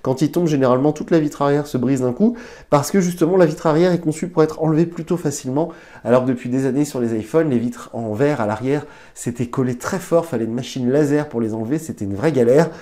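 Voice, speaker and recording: A man's voice: medium pitch, fast talker, mellow. A man with a stuffy, nasal voice from a cold, hosting a casual tech review into a lapel mic, chatty, with fillers and restarts. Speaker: tech reviewer, channel host.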